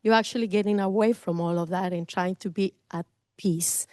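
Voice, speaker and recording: A woman's voice: medium pitch, steady tone, normal speed, darkish tone, no echo, studio quality. Enthusiastic Costa Rican woman realtor. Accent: Costa Rican Spanish. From Costa Rica. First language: Spanish. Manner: enthusiastic, effusive, laughs between phrases. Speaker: guest speaker, realtor. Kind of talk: presentation at a business meeting.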